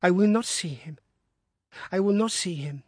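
A man's voice: low voice, sing-song, a little fast, husky, no echo, clean studio sound, pitched below the performer's own voice, heavily acted. A man voicing a feverish, delirious wife who cries out frantically in terror and despair.

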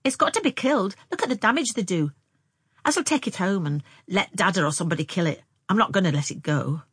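A woman voicing a brisk, blunt and curious young country girl in colloquial, exclamatory audiobook dialogue. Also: mid-range voice, expressive, slightly rough, no room sound, clean studio sound. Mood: blunt, determined.

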